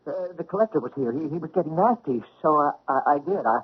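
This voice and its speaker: Husky, stammering, guilty frontier man. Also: a little high, animated, quick pace. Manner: stammering, pleading. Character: weak, guilty, desperate male frontier embezzler. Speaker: actor in a radio drama. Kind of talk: old-time radio drama acting. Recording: muffled old radio drama recording.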